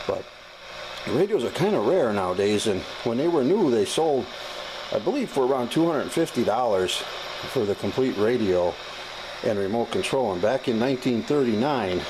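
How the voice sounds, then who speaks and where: An American man giving a casual, unscripted demonstration as a hobbyist, talking in a folksy, plain-spoken, halting way. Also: mid-range voice. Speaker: hobbyist demonstrating a vintage gadget. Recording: clearly noisy amateur recording.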